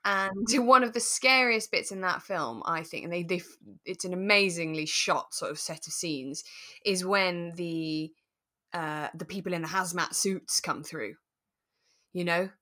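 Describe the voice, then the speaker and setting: A woman speaks in a slightly low-pitched voice. She talks as a podcast co-host, explaining things informally and step by step, with small illustrations along the way. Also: unhurried, sharp tone. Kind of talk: relaxed conversational explaining.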